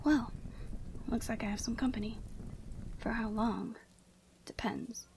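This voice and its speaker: A woman playing a proud, commanding yeti woman in a scripted ASMR roleplay, speaking in an imperious, measured and formal way. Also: fairly high pitch, steady tone, a little mellow, slight background noise. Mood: weary, muttering.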